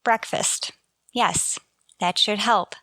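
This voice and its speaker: An actress playing a wry, unlucky high school teacher in a scripted radio sitcom, with dry, self-deprecating wisecracks. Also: female voice, takes it slowly, pushed and bright, no room sound, exaggerated voice. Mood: dry, resigned. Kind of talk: scripted radio sitcom acting with first-person narration.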